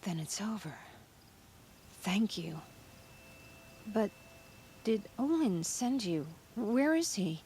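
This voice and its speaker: A freed captive in a game drama, a tired wife and mother. Her mid-range voice is subdued and wistful, slightly halting, with a faint rumble behind it. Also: big pitch swings, warm tone. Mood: grieving, subdued.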